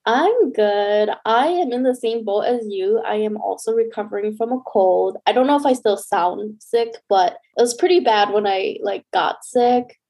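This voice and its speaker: A woman's voice: mid-range voice, moderate pace, few pauses, a little mellow. A young woman co-hosting a casual podcast, a bit stuffy from a cold, talking chattily with lots of "like". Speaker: podcast co-host.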